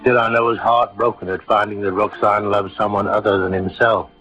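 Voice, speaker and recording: A man narrates an old radio drama from a script. His voice sits fairly low. The sound is dull and dated, with faint music behind him. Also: flat delivery, a little fast. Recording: dull vintage radio recording.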